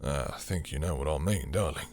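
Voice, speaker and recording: A man's voice: a bass voice, a little mellow, a little hiss or hum. A man acting a dominant, possessive male vampire in an intimate audio roleplay, taunting and seductive with a sadistic, menacing edge. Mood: smug, taunting. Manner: taunting, drawling, with breathy sighs and lingering pauses.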